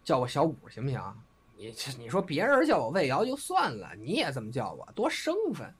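An actor playing a playful, love-struck young man, teasing and excitable, with a northern Chinese accent in an audio drama. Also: mid-range voice, brisk, husky, full character voice. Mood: playful, defensive. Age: young adult.

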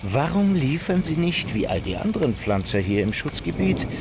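A man's voice: fairly high pitch, big pitch swings, moderate pace. An actor playing a blunt colonial-era German man, putting formal, probing questions in a radio drama. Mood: puzzled. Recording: loud outdoor background noise.

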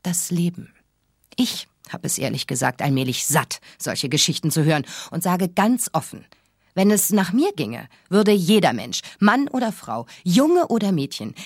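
Provocative talk radio woman, lowish husky voice, hard-line opinions. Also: dry recording, clean studio sound. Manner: blunt, provocative. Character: blunt, hard-line female radio host nicknamed the Beast. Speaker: radio talk show host. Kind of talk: radio drama acting, call-in talk show monologue.

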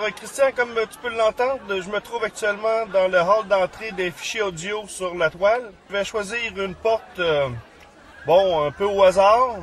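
A man presenting a podcast news segment, rattling off a list in a quick, chatty way with frequent fillers. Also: sits high, fairly bright tone. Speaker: podcast columnist.

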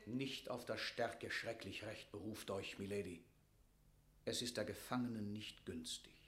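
A stern, sharp-voiced English lord. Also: male voice, fairly low pitch, unhurried, reverberant. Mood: severe, admonishing. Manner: formal, declamatory, severe. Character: stern English lord, relentless accusing statesman. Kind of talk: classical German stage verse radio acting.

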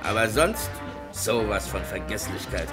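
An actor playing a gruff, talkative long-haul trucker in a vintage radio crime play. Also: male voice, on the low side, lively intonation, a little slow, over music. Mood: businesslike. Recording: old radio play production.

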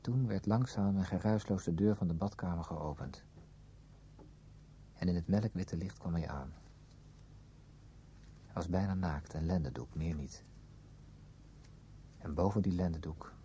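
An earnest confidant telling his story in a radio drama. He has a deep, dark voice and a careful, literary delivery. A faint hum sits in the recording. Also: unhurried, pauses often. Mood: hushed, grave. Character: earnest male friend, probing, narrating his discovery. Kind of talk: Dutch radio play acting and narration.

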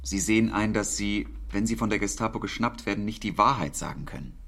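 An actor playing an earnest, wry British wartime code instructor in a radio play, speaking in a measured, deliberate way with pauses. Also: male voice, fairly low pitch, expressive, creaky, noisy background. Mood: calm, serious.

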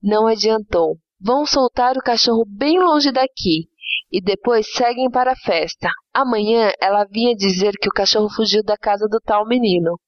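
A woman's voice: medium pitch, normal speed, no room sound, natural voice. A woman playing a blunt, uneasy housemaid in a dramatic short-story reading, with colloquial, emphatic speech. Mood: blunt, indignant. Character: blunt, uneasy housemaid telling a boy hard truths. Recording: dull lo-fi audio with music underneath.

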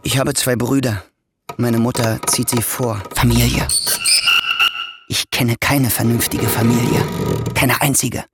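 A man's voice: mid-range voice, steady tone, unhurried, long pauses, very noisy, lightly acted. A man playing a bitter, sullen young nephew. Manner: sullen, brooding, complaining. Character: bitter young male nephew, indebted and resentful. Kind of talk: radio play acting.

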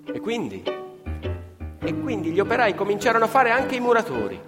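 A man's voice: high-pitched, wildly swooping pitch, normal speed. A man narrating dramatically as an actor-storyteller in radio theatre, declamatory, with rhetorical questions and repetitions. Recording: reverberant hall, live music behind. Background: live violin and plucked strings.

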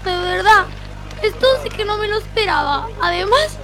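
A woman's voice: very high voice, animated, moderate pace. A woman playing an anxious, self-blaming young market vendor in an audio drama, faint music behind. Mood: upset, worried.

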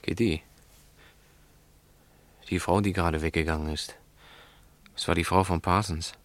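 An actor playing a stubborn, upright snack-bar owner in a German radio drama, speaking in a firm, curt, defensive way. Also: male voice, sits low, fairly even intonation, measured pace, no echo. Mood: troubled.

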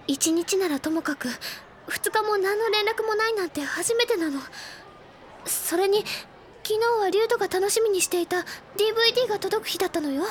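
A woman playing a sweet, earnest and excitable senior high-school girl in an audio drama. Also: a little hiss or hum. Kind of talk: Japanese drama CD acting.

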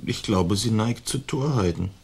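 A man's voice: lowish voice, animated, unhurried, creaky, husky, heavily acted. An actor in a German radio play, playing a languid, dutiful young nobleman who talks in a formal, plaintive way. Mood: reluctant. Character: languid young nobleman, peace-loving, dutiful, weary son.

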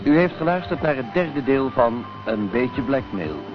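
A man's voice: mid-range voice, expressive, measured pace. A man reading a closing announcement for a radio drama as the announcer. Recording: dull old radio recording. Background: loud music.

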